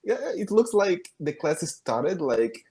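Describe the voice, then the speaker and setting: A man's voice: fairly high pitch, big pitch swings, slow, warm tone, slightly rough. A young Brazilian man whose native language is Portuguese, speaking English with a Brazilian accent on a video call; eager and friendly, with frequent restarts. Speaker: English learner and channel host. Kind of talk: casual conversation practice.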